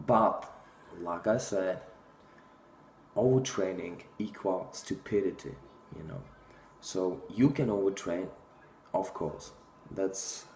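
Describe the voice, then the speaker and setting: Czech guy in his 30s, real low voice, blunt gym talk, lots of uhs, echoey little room. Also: a little mellow, slight background noise. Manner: blunt and opinionated, many fillers, gestures while talking. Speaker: fitness vlogger. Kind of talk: casual talking-head monologue. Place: small room indoors.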